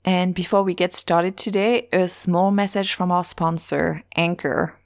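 A woman's voice: lowish voice, steady tone, a little slow, no room sound, soft background music. A young woman from France with a French accent, hosting her own podcast in a chatty, self-deprecating way with plenty of fillers. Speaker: podcast host. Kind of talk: casual solo podcast.

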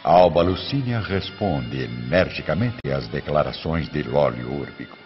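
Deep-voiced man narrating a radio drama. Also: animated. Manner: solemn, measured storytelling. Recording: muffled radio recording over music.

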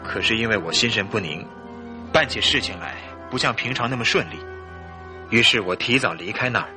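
An actor playing a suspicious, wounded and hot-tempered husband in an old-fashioned radio drama, speaking dramatically. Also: male voice, fairly low pitch, animated, fairly quick, music in the background, close to an everyday voice. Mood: dejected, bitter. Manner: forceful, accusing, dramatic.

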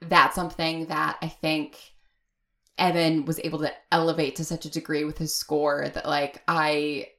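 Woman guest chatting on a podcast, low voice, thoughtful, halting, lots of like and sort of. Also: level tone, pushed and bright. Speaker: audio drama writer, podcast guest. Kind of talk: casual interview conversation.

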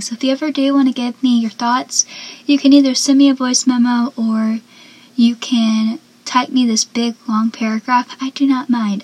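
A seventeen-year-old girl with a soft, young-sounding voice, chatting casually as a podcast host and dropping into whispered asides. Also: fairly high pitch. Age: teens. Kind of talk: casual solo podcast chat.

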